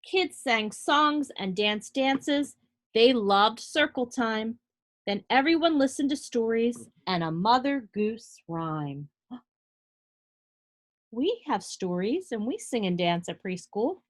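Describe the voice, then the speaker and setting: Expressive female preschool teacher, higher-pitched voice. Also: slow pace. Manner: animated, addresses small children directly, simple explanatory phrasing. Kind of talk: read-aloud to young children with asides and questions.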